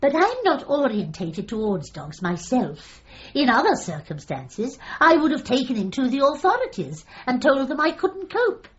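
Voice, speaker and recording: Meek doleful widow, high-pitched, hesitant, polite, literal-minded, comic radio play, slightly dull recording. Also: female voice, wildly swooping pitch, normal speed, few pauses. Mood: doleful, apologetic. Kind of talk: comic radio drama acting.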